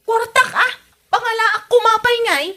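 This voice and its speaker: Woman acting a high, bright, feisty Ilocano housewife. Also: moderate pace. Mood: defensive retorts. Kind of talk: Ilocano comedy drama podcast acting.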